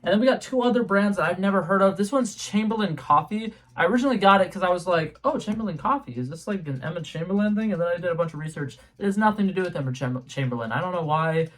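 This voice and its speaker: Chatty young man with a higher voice. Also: expressive, quick pace, hardly any pauses, fairly bright tone. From New England. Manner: chatty and rambling, with many ums and restarts. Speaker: YouTube host. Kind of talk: casual product taste-test vlog. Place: home room with a color-changing globe light.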